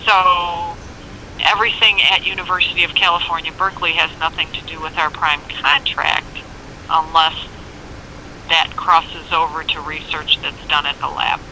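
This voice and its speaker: An American government records officer explaining things informally and haltingly over a phone speaker, in a helpful way. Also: medium pitch, little pitch movement, crowd noise. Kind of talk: casual explanatory phone conversation. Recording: noisy speakerphone call picked up outdoors.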